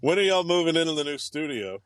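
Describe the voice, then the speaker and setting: A man's voice: on the high side, expressive, fast, a bit bright, clear, resonant tone, no echo. A man co-hosting a podcast from his car, laid-back and hesitant, often trailing off. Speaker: podcast co-host and aspiring stand-up comic. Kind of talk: casual banter.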